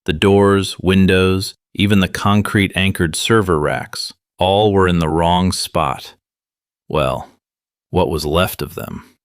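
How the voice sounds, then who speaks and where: Conspiracy show host, deep low voice, wry, conspiratorial, conversational, audio drama monologue, dry recording. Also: male voice, fairly even intonation, takes it slowly, clear, resonant tone, no room sound. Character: wry conspiracy radio show host, Miller, grown man.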